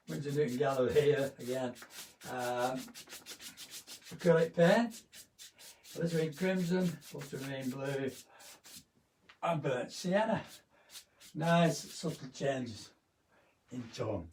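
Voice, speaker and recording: Hoarse man teaching painting. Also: fairly high pitch, darkish tone, distant mic, a little hiss or hum, poor audio. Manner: chatty, rambling, with fillers. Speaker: art teacher. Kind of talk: live painting demonstration.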